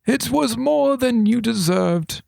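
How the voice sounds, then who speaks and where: A man voicing an old male wizard regent who is formal, paternal and dryly playful, speaking slowly and deliberately. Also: very high voice, expressive, gravelly, a little mellow, no echo, studio quality, pitched above the performer's own voice, heavily acted. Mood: wry. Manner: slow, deliberate, grandly formal. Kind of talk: audiobook character voice.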